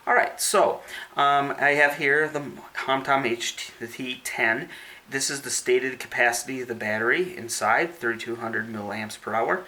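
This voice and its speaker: A man's voice: mid-range voice, unhurried, sharp tone. A man giving a casual hands-on product review, explaining each step methodically and checking in with the listener as he goes.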